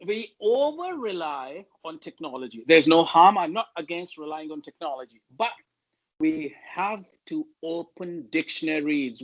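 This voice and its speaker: A man's voice: fairly high pitch, lo-fi sound. A man with a Pakistani accent, a native Urdu speaker, talking as an interview guest about public speaking and laying out his points one by one. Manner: measured, emphatic, lists points. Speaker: guest linguist.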